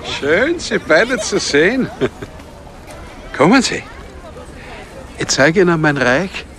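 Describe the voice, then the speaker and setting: A man's voice: high voice, very animated, takes it slowly, crowd noise, heavily acted. An actor playing a polite, understated male city archivist in a German radio crime drama. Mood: friendly. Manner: courteous, understated. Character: polite, middle-aged male city archivist, discreetly offering murder jobs. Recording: clear ambient noise behind the voice.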